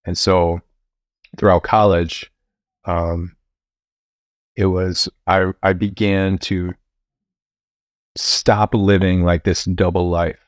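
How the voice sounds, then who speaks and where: Deep-voiced young man telling a story. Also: slow pace, pauses often, no room sound. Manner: relaxed, many fillers and restarts, occasional laughs. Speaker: priest and university chaplain. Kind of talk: casual interview storytelling.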